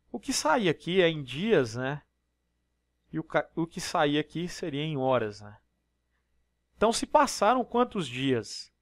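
A man's voice: a little high, no room sound, studio quality. Brazilian man, step-by-step math tutor. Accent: Brazilian Portuguese. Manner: explanatory, step-by-step, frequent tag questions. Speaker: math teacher. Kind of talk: exam problem walkthrough. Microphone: voice-over on screen recording.

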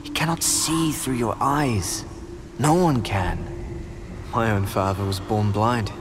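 A man playing a brooding, solemn character with a grave, measured manner in a dramatic game performance. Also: fairly low pitch, over music. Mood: grave, somber. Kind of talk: dramatic video game acting.

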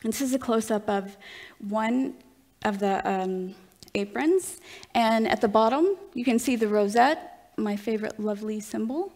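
A young woman with a Southern US accent giving an earnest, enthusiastic conference lecture from a lectern, with pauses and fillers. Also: fairly high pitch, darkish tone. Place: large dark conference hall, at a lectern.

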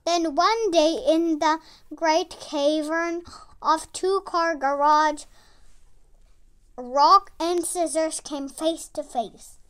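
A storybook reader with a dramatic, expressive delivery, reading a story aloud. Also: high voice, drawn-out words. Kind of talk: animated storybook read-aloud.

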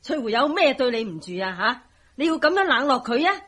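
A woman's voice: sits high, very animated, fairly quick, fairly bright tone, slightly rough, exaggerated voice. An actress with a Hong Kong Cantonese accent playing a scolding, sarcastic middle-aged mother in a radio drama.

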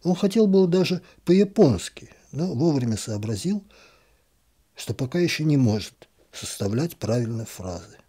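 Male narrator for a literary story, mellow and slightly husky, calm and expressive. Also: medium pitch, moderate pace. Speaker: audiobook narrator.

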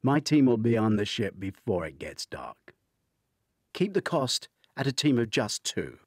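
A man voicing a gruff, hard-bargaining crime agency boss, curt and folksy, in an audiobook thriller. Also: mid-range voice, big pitch swings, unhurried, no room sound, clean studio sound. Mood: curt, firm. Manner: curt, tough, folksy negotiating.

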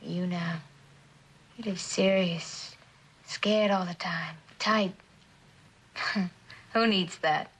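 A woman's voice: on the low side, steady tone, very slow, long pauses, breathy edge, heavily acted, low-quality recording. A young American actress playing a blunt, breezy, kind-hearted female drifter in a mid-century suspense drama, with casual, slangy delivery. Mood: frank, breezy. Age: young adult. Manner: casual, slangy, plain-spoken.

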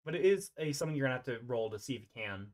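A game master narrating an improvised tabletop roleplay over an online voice call, often pausing mid-sentence. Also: medium pitch, lively intonation, a little mellow.

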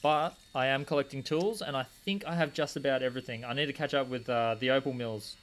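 A young man talks in an easy, rambling way on a live stream, with a voice sitting at an ordinary middle pitch. He chats to viewers from a cramped home desk corner, picking up their comments one after another while he keeps working. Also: quick pace, slight background noise. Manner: rambling, answers chat comments aloud. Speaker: stream host polishing gemstones. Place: small home desk corner with a rotary tool running.

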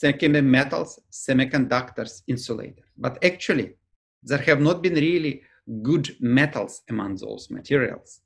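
A middle-aged man from Ukraine with a Ukrainian accent, giving a remote academic lecture over slides on a webcam, fluent but dropping articles and pausing with "uh". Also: mid-range voice, expressive, vocal fry, slightly rough. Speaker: professor and lecturer. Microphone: video call webcam.

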